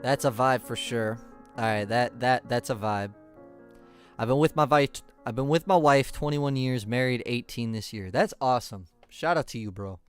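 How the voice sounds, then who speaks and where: A man's voice: mid-range voice, normal speed. A man in his twenties giving laid-back, chatty reaction commentary on music. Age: 20s.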